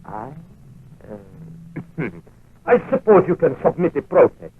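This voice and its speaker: Casting: middle-aged German Nazi official, slippery and ingratiating, wide pitch swings, narrow vintage radio sound. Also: male voice, very animated, takes it slowly, slightly rough, full character voice. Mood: flustered, evasive. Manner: formal, ingratiating, hedging. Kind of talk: 1940s radio acting. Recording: tinny, narrow-band 1940s radio recording.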